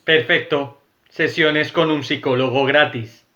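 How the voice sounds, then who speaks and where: A man's voice: mid-range voice, steady tone, a little slow, sharp tone. A man in his twenties playing a wry science student who says he is a cyborg. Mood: curious. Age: 20s. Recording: dull lo-fi sound with faint music.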